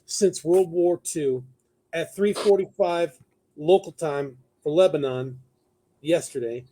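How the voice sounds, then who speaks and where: A man hosting a talk show, giving conversational news commentary partly read from notes, thinking aloud as he goes. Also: on the high side.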